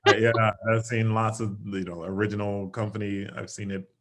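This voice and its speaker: A man with a low, deep speaking voice chats casually as an interview guest. He is animated, laughs easily and jumps up in pitch when he acts out his own reactions, heard over a video call. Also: normal speed. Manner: animated, laughs often, quotes himself in lively bursts. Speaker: interview guest, stage actor and singer.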